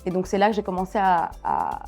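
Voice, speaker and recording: A woman from Niger speaking as a journalist in a conversational interview, telling personal stories with halting self-corrections. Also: fairly low pitch, huge pitch swings, creaky, a little mellow, faint music behind.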